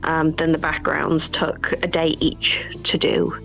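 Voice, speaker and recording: A woman's voice: low voice, completely flat delivery, unhurried, creaky, fairly bright tone, in a whisper. A woman being interviewed as a game developer, casually and modestly explaining how she works. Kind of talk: casual explanation of a work process. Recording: dull lo-fi call audio, faint music.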